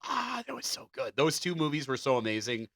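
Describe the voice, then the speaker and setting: A man with a bright, forward voice at a middle pitch. He sounds like a podcast co-host, switching between entertainment news copy and loose chat, and the sound is dry and close. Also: sing-song, a little fast, no room sound. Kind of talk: entertainment news read-out mixed with casual banter.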